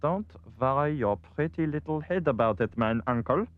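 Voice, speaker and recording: An actor playing a pompous, scheming cartoon villain with a precise, haughty manner. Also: male voice, fairly high pitch, lively intonation, normal speed, no echo, narrow, tinny audio, lightly acted. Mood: smug, scheming. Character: pompous scheming villain with a cold, logical mind.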